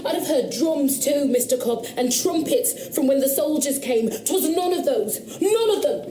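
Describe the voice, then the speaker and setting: A woman's voice: high voice, lively intonation, reverberant, noisy background. Shaken country girl. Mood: defiant. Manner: halting, hesitant, rustic speech. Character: frightened young country woman, insistent witness. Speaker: witness questioned about noises. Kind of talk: radio drama acting.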